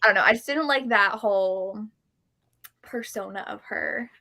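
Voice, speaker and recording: A woman's voice: mid-range voice, moderate pace, sharp tone. A woman chatting casually about books as a panelist, blunt and opinionated with an exasperated way of talking. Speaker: book club panelist. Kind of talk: casual book chat.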